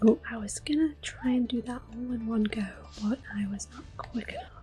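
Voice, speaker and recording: Woman whispering softly thru a video game, asmr style, gentle and slow, game music behind her. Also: a little high. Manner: gentle, hushed, unhurried. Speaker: gameplay video host. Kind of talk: soft-spoken ASMR game commentary with whispering.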